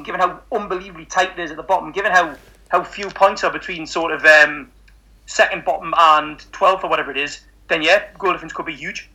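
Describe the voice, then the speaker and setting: A man's voice: high-pitched, bright, forceful tone, low-quality recording. A man with a Geordie accent discussing football casually as a panellist, emphatic and given to repeating words. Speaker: podcast panellist.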